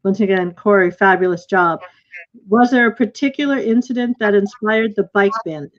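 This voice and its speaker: A woman with a mid-pitched voice hosts a live online session in a casual, informal way. Her delivery halts a little as she goes, and the video call sound is dull and lo-fi. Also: moderate pace. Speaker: host of a virtual theater question-and-answer session. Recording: muffled, lo-fi video call audio.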